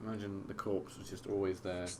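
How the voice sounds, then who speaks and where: A man's voice: deep voice, little pitch movement, a little fast, darkish tone. A young man, co-hosting a casual podcast chat, swearing freely and calling his friend mate. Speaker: podcast co-host. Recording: faint background noise.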